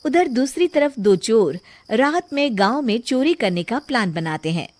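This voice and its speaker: A woman narrates a children's cartoon story in a high voice. Her delivery is scripted storytelling, telling events in order for young listeners. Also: very animated, moderate pace.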